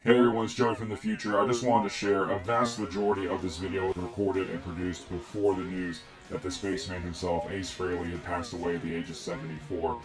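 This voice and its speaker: A man's voice: low voice, level tone, fast talker, hardly any pauses, low-quality recording. A man in his thirties giving a sober, straightforward update to viewers. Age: 30s. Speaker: movie commentary host. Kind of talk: direct address update. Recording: voice over loud guitar music.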